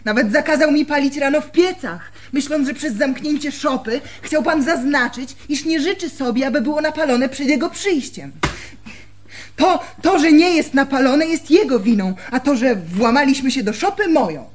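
An actress playing an earnest young fiancée in a radio drama, explaining herself apologetically. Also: female voice, high-pitched, expressive, normal speed, a little hiss or hum. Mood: apologetic, earnest. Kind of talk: Polish radio drama acting.

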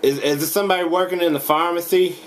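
A young man making a deadpan prank phone call, halting, stammering and rambling as he talks in a home room. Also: high-pitched. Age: young adult. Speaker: prank caller. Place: small indoor home room. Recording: noisy webcam recording.

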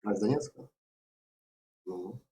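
A man from the Luhansk region with a Ukrainian-accented Russian soft G, arguing defensively and evasively on a video call. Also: on the low side, takes it slowly, slight background noise. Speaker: chat roulette guest. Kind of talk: casual argument.

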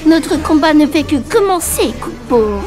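A woman's voice: high-pitched, animated, a little slow. A woman voicing a proud little moogle king who makes grand royal proclamations in game audio. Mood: regal. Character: pompous moogle king, small, proud, commanding. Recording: distant game audio with music.

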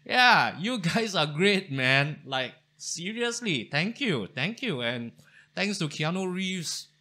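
A man's voice: sits high, sing-song, slow pace, bright, forceful tone. Chatty man in his forties. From Singapore. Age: 40s. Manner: chatty, thankful, many fillers and restarts. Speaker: history channel host. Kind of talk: casual talk to camera. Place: home study with bookshelves.

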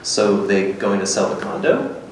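A man in his thirties reading the part of a dry, blunt, sceptical companion in a staged script reading, deadpan and terse. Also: sits low, unhurried, exaggerated voice. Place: small hard-walled gallery room, indoors. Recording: very echoey room, distant, faint noise.

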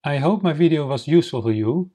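A man whose native language is Dutch narrates a slideshow lesson, explaining formulas slowly and step by step. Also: fairly high pitch, animated, warm tone, slightly rough. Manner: slow, methodical, explains step by step. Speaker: science channel host.

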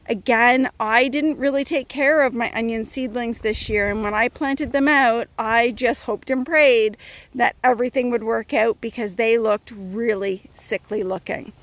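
A woman's voice: on the high side, no echo. A middle-aged woman chatting casually as she walks a phone camera through her outdoor garden beds, thinking aloud and sounding puzzled at times. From Canada. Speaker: garden vlogger. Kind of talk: casual walkthrough narration. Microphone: phone camera held while walking.

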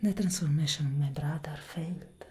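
Woman acting a gothic vampire elder sister, breathy ASMR whisper, slow, dark soft tone, protective, dramatic, faint ambience behind. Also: low-pitched, slight background noise, lightly acted. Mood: mournful, resolute.

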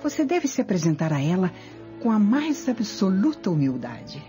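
An actress playing a vengeful, scheming Roman noblewoman in a radio drama, speaking imperiously and deliberately. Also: medium pitch, very animated, dark tone, faint music behind, close to an everyday voice. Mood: commanding, calculating.

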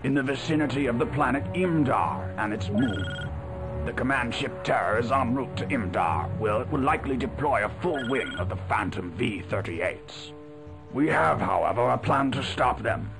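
A composed, commanding military officer gives a formal briefing in a video game. His mid-pitched voice has a gravelly edge, and he speaks deliberately over clearly audible music. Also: lively intonation. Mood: grave, measured. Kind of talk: scripted mission briefing, video game acting. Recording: music behind, 1990s game audio.

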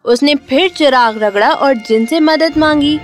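A woman's voice: sits high, over music. A woman narrator reading a scripted fairy tale for children.

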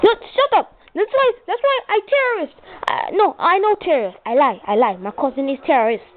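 An angry foreign prank caller badgers in broken English. The voice is extremely high and swings around a lot, insistent and combative, on a thin, tinny recording. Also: expressive, a little fast. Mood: belligerent. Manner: insistent, belligerent, repetitive. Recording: tinny lo-fi handheld camera, some noise.

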